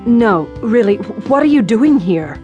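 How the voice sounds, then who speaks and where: A fretful, thin-skinned soap star in a radio drama. Her fairly high voice swings widely in pitch, melodramatic and hesitant, with music behind it. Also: animated, unhurried, lo-fi sound. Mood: outraged. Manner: breathy sighs, hesitant, melodramatic. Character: anxious, easily wounded soap opera actress, female. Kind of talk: audio drama acting.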